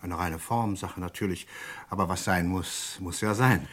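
An actor playing a courteous but probing chief inspector in a German crime radio play, formal and insistently polite. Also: male voice, low-pitched, moderate pace, fairly bright tone.

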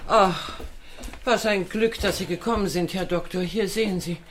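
A woman playing a composed, wistful lady of the house in a German radio drama, speaking slowly and deliberately. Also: lowish voice, a little hiss or hum, close to an everyday voice. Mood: concerned.

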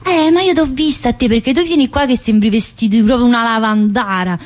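A restless, teasing middle-aged woman inmate, talking in an earthy stream of asides and fillers as an actress plays her on stage. The voice sits a little high for a woman. It is a one-woman theatre monologue. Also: brisk, darkish tone, natural voice.

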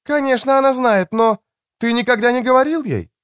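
A man voicing an elegant mature woman doctor in an audiobook, tender, frank and gently teasing, with soft expressiveness. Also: extremely high pitch, a little fast, clear, resonant tone, no echo, pitched above the performer's own voice. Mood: insistent, caring. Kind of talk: audiobook character dialogue.

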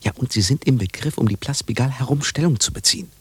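A man playing a wry, anxious parrot owner in a radio play, dry and sardonic, with a husky voice. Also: mid-range voice, fast talker. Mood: wry, uneasy.

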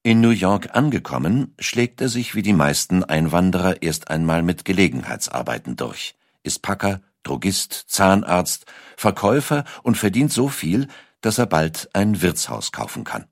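Very deep male storyteller, expressive delivery. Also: moderate pace, dry recording, clean studio sound. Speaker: audiobook narrator. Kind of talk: scripted documentary storytelling narration.

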